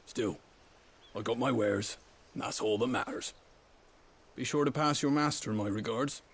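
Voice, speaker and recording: An actor voicing a chatty, blunt stable master in a video game, with a wry, folksy manner. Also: male voice, medium pitch, normal speed, gravelly, slightly rough. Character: gruff, wry male stable master, chatty working man. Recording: game music behind voice.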